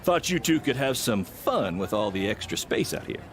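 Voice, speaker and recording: A man's voice: mid-range voice, very animated, normal speed, background noise, natural voice. A man playing a brash, scheming wrestling promoter in a video game cutscene, talking like a self-satisfied, theatrical salesman. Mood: breezy, upbeat.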